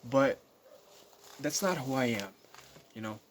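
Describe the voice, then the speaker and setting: A nineteen-year-old man speaks straight to his viewers at an everyday mid-range pitch. He rambles and circles back, stacking fillers and false starts as he thinks aloud. A faint hum of background noise sits under the voice. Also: lively intonation, unhurried. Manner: rambling, many fillers and repeated restarts. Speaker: vlogger. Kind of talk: casual spoken monologue to viewers.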